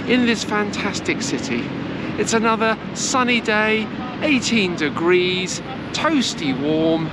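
Chatty woman vlogging outdoors, street noise. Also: medium pitch. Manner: chatty, meandering, frequent "uh" hesitations. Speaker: travel vlogger. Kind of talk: walking-tour vlog narration. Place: outdoors in sunny city squares and streets. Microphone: camera held at arm's length.

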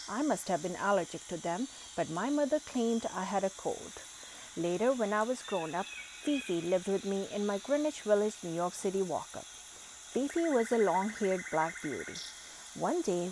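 A woman reading a children's picture book aloud, expressive and lively in the exclamations. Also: mid-range voice, background noise. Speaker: storybook reader.

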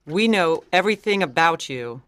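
A woman voicing a gentle, knowing schoolgirl in dialogue from a story. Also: on the low side, lively intonation, moderate pace, no echo. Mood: confident. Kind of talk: audiobook character dialogue.

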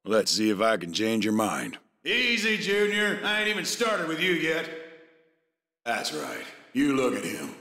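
A ruthless military villain from a video game. His gravelly voice is hard and forceful, swinging widely as he taunts and gives orders. He sounds menacing and vengeful, every bit the commander. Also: mid-range voice, wildly swooping pitch, measured pace, heavily acted. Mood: sinister, taunting. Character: ruthless gruff male soldier turned vengeful villain.

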